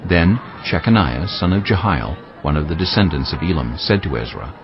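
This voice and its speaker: Male Bible narrator, very low and lively-pitched, dramatized reading, dull sound with clear music. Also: moderate pace. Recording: dull audio under music. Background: prominent background music.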